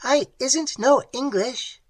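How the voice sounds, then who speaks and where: A man voicing a strange ice creature that talks in a shrill, clicking voice, giving flat, literal and ungrammatical answers. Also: slow pace, full character voice. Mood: flat mimicking.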